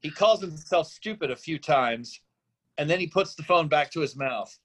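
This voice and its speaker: A man's voice: a little high, lively intonation, normal speed. A man narrating a screenplay, reading out stage directions as scripted narration.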